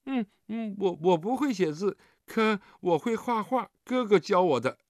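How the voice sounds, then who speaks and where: 一名男子在有声故事中扮演一个倔强、胆怯又委屈的丧亲小男孩，说话吞吞吐吐。. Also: male voice, sits high, animated, dry recording, studio quality, pitched above the performer's own voice. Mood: hesitant. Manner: halting, stammering, plaintive. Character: stubborn, grieving orphan little boy, sulky and timid.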